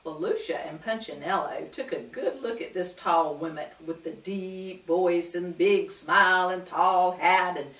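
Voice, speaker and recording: A woman's voice: on the low side, a little slow, hardly any pauses, a bit bright. A woman reading a children's story aloud with expression, asking her young listeners questions as she goes. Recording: roomy, distant mic.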